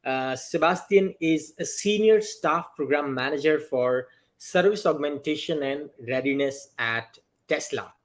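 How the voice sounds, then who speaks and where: A man's voice: a little high, unhurried. A man hosting an interview podcast, with formal, slightly non-native phrasing. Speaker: podcast host.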